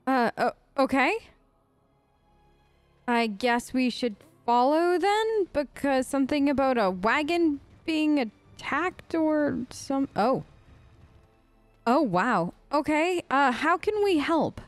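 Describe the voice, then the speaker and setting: A woman's voice: sits high, animated, slow pace, no echo, faint music behind. A woman playing through a role-play game, voicing her choices in a casual, chatty and informal way. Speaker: let's play streamer.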